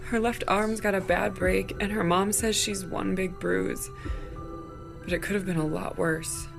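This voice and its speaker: A woman's voice: medium pitch, fairly even intonation, unhurried, vocal fry, over music. A woman playing a concerned theatre worker with a plain, serious delivery in an audio drama. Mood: worried.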